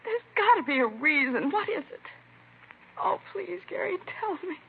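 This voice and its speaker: A woman's voice: very high voice, sing-song, normal speed, vocal fry, slight background noise, full character voice. An actress playing a distraught mother pleading in a 1950s radio drama. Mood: anguished pleading. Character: distraught, pleading middle-aged mother of troubled son. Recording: tinny, band-limited vintage radio recording.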